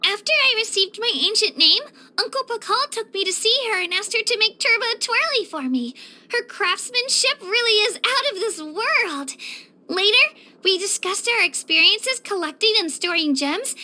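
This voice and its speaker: A woman's voice: very high-pitched, heavily acted. A woman voicing an earnest, sweet, self-doubting young girl hero in an anime-style fantasy video game. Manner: earnest, youthful, gentle.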